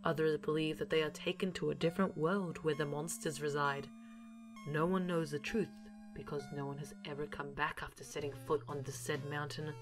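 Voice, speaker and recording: A woman delivering scripted narration as the narrator of an audio series, in a formal storytelling manner. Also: low voice.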